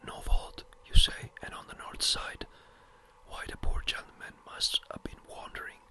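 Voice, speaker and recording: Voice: measured pace, pushed and bright. Whispered fussing old parish clerk. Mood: dismayed. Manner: humble, fussing. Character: shocked, deferential old parish clerk, a man.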